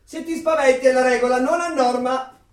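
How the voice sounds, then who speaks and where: An actor playing a chatty, smug, middle-aged neighbour, a party loyalist who insinuates and gets excitable, in theatrical radio drama style. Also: male voice, very high-pitched, fairly quick, recorded from a distance. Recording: roomy echo, off the microphone.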